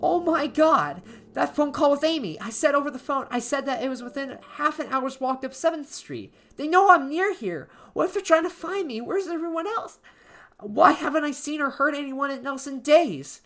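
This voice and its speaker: A woman playing an anxious, isolated diarist in a horror story, reading in a halting, emotive way with music behind her. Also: high-pitched, expressive, fairly bright tone, pitched above the performer's own voice. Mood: panicked. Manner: halting, emotive, with stammered repeats. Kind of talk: dramatic first-person horror story narration. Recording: background music under voice.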